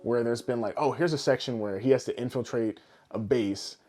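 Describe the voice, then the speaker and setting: A man co-hosting a casual podcast, rambling through his ideas in an animated way and swearing freely. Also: medium pitch, normal speed, faint music behind. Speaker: podcast co-host. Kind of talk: casual podcast chat about games and films.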